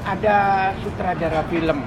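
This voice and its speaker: An older man from Kediri, telling stories in a relaxed interview. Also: sits high, very noisy. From Kediri, East Java. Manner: relaxed storytelling, drawn-out pauses. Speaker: guest comedian. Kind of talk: casual interview. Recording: music playing behind.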